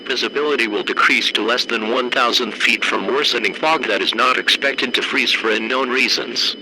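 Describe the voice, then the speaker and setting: A man voicing a stern, official emergency alert announcer with a measured, deadpan, clipped delivery. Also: medium pitch, lightly acted. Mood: stern, flat. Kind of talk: formal emergency broadcast announcement. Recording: noisy radio-style alert broadcast.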